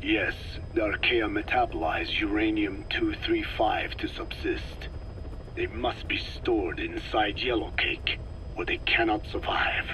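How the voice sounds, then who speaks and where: An actor playing a frail, regretful old scientist in a video game, with a weak, breathy rasp and formal, halting delivery. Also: male voice, fairly low pitch, bright, forceful tone, slight background noise, poor audio. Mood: grave explaining. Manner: slow, deliberate, formal, halting phrases. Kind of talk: video game dramatic dialogue.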